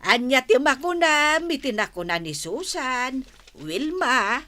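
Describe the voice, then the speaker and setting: Patient Filipino woman shopkeeper, radio comedy: unhurried, high-pitched, counselling with emphasis. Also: sing-song, bright, forceful tone, no room sound, full character voice. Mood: mediating. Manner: advising, emphatic. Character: motherly woman shopkeeper giving patient counsel on keeping peace. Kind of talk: comic radio drama acting.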